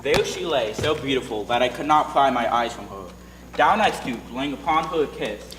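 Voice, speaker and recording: A man's voice: medium pitch, lively intonation, normal speed, fairly bright tone, natural voice. A man playing a bold, determined young prince in a readers theatre fairy tale. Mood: wondering. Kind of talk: readers theatre stage reading. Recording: echoey hall with faint noise.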